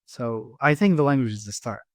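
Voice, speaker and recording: A middle-aged man with an Iraqi Arabic accent, a guest on a podcast interview, talking haltingly with many restarts and repeated words. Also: mid-range voice, animated, dry recording, clean studio sound. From Iraq. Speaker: guest, engineering firm president.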